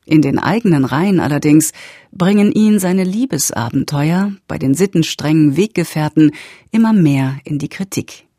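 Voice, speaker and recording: A woman reading a scripted radio introduction and summary as announcer, with a husky, mellow voice. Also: low voice, animated, moderate pace, dry recording, clean studio sound. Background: faint music.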